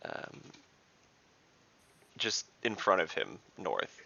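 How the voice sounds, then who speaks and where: Low, gravelly man, animated pitch, hesitant player. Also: extremely slow, highs cut off. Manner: hesitant, counting things out, muttering asides. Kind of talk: thinking aloud through his turn.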